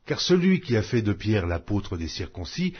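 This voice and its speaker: A man reading scripture aloud in a measured, solemn way. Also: sing-song, breathy edge, highs cut off. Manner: measured, solemn reading with wide pitch swings. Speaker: Bible reader.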